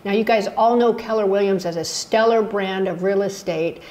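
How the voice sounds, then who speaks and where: A woman's voice: mid-range voice, normal speed, a little mellow, low-quality recording. A middle-aged woman hosting a travel channel, interviewing a guest on a video call in an upbeat, enthusiastic way. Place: indoor room. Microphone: laptop camera on video call.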